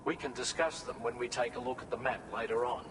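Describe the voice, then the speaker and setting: A man playing a practical adviser who briefs a courier on routes in a game cutscene, in a steady, colloquial manner. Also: medium pitch, brisk, noisy background, natural voice. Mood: calm. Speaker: mission supporter. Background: game music.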